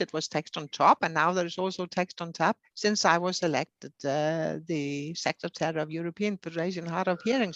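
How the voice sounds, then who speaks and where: Gravelly-voiced woman, native Danish speaker. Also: fairly low pitch, no room sound. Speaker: federation secretary. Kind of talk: unscripted interview answer.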